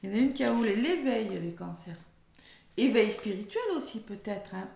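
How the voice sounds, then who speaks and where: A French woman who is losing her voice, speaking softly and hoarsely as she gives a weekly tarot card reading, slowing down when reading cards aloud. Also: medium pitch, expressive, roomy sound, background noise. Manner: soft-spoken, slow and measured when reading cards aloud.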